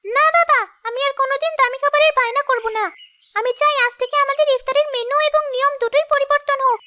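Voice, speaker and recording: Woman as young cartoon girl, sincere and emotive, piercingly high and bright, over music. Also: steady tone, fast, a little hiss or hum. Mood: earnest, pleading. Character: earnest young schoolgirl in a moral cartoon story. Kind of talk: scripted cartoon dialogue. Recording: music behind the voice.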